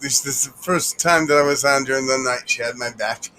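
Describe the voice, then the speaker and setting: A man's voice: mid-range voice, fairly quick, a loud crowd. A man joining a livestream as a guest, self-deprecating and laughing at himself. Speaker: guest caller. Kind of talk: casual livestream chat.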